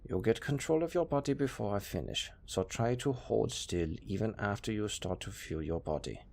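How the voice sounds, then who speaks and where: Man acting a chatty, creaky-voiced necromancer. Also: lowish voice, moderate pace, a little mellow. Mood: businesslike, upbeat. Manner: casual, chatty, matter-of-fact about grisly work. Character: chatty, pragmatic male necromancer, former tailor. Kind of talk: intimate audio roleplay acting.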